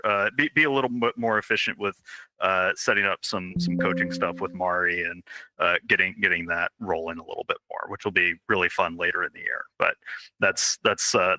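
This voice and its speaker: A man's voice: lowish voice, sharp tone, dry recording, low-quality recording. A man co-hosting a live online puzzle event, upbeat and enthusiastic, often repeating his first words as he gets going. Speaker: co-host. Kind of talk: casual live-stream chat.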